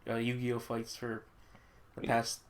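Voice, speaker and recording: A man's voice: mid-range voice, monotone, a little slow. A man co-hosting a podcast, chatting casually about games and anime in a giggly way. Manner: giggly, often laughing. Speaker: podcast co-host.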